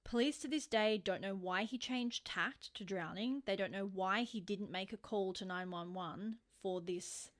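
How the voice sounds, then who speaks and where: A woman's voice: medium pitch, unhurried, hardly any pauses. A woman co-hosting a podcast, telling true-crime stories in an informal, conversational way with emphatic stresses. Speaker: podcast co-host.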